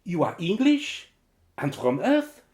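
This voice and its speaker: Man acting a slow, wary, courteous foreigner. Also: high-pitched, very animated, a little mellow, slight background noise, heavily acted. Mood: astonished. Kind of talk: radio adventure drama acting.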